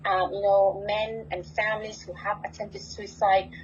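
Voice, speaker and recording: A woman from Afghanistan giving a presentation as a refugee advocate on an online call, speaking haltingly with frequent pauses mid-phrase. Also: mid-range voice, level tone. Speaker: refugee advocate, network executive director. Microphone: computer on an online call. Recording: muffled lo-fi computer call audio.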